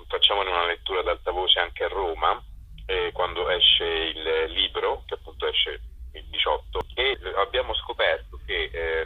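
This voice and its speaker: Male interview guest: low, raspy, hard-edged voice, halting explanatory delivery. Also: bright, forceful tone, poor audio. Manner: halting, self-correcting, explanatory. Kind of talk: conversational interview answers.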